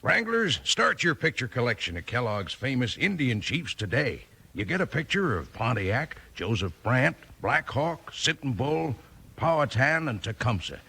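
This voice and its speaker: An American man announcing a 1950s children's radio commercial with an emphatic sales pitch. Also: sing-song, normal speed, creaky, noisy background.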